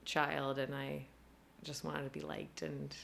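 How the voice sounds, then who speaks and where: Woman with a low voice, young adult, chatty guest, lots of fillers, laughs often. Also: little pitch movement, takes it slowly, gravelly, a bit bright, a little hiss or hum. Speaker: costume designer, guest. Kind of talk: casual conversational interview.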